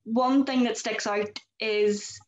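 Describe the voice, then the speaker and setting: A woman's voice: a little high, fairly even intonation, slow pace. Chatty Northern Irish woman, echoey call. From Northern Ireland. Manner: chatty, lots of "like". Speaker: host. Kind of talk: casual panel chat. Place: small room indoors. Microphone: video call. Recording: echoey room over a video call.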